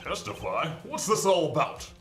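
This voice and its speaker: A man playing a flustered chief prosecutor in a game dub. His voice is high for a man, swinging wildly in pitch, exasperated and reluctant, in a roomy sound. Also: big pitch swings, normal speed, a bit bright, faint music behind. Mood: baffled, exasperated. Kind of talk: fan-dub game acting.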